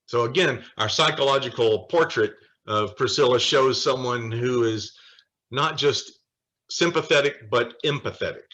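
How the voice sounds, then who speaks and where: An American man leading a Bible study in an informal, conversational way, pausing often as he explains and adding emphatic asides. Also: mid-range voice, fairly bright tone. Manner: conversational, halting, with frequent pauses and emphatic asides. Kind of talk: informal teaching with quoted scripture and scholars.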